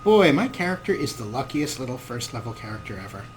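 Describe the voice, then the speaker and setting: A man's voice: medium pitch, sing-song, quick pace, a little hiss or hum. A man hosting a gaming show with casual let's-play commentary, talking in a wry, rambling way.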